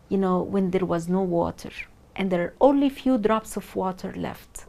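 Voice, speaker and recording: Earnest Egyptian-born woman, mellow voice. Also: on the high side. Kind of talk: interview.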